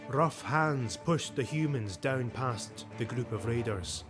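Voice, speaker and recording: A man narrates a dark fantasy audiobook in a dramatic, scripted style. His voice is mid-pitched, and loud music plays behind it. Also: lively intonation, slow.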